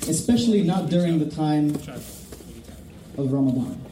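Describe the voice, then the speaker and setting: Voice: medium pitch, animated, moderate pace, warm tone, a little hiss or hum. A person from Florida giving an earnest, impassioned speech at a memorial vigil, solemn and rising to fervor. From Florida, United States. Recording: echoey and distant, faint ambience.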